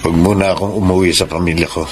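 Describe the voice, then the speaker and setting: An actor playing a calm, coaxing, unfaithful husband in a Filipino radio drama. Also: male voice, low-pitched, fast talker, lightly acted. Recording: faint background noise.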